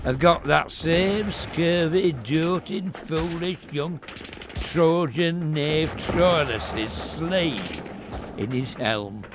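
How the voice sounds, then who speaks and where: A man playing a scurrilous, bitter camp cynic in a Shakespearean audio drama, sneering and muttering in halting phrases. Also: on the high side, background noise. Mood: scornful.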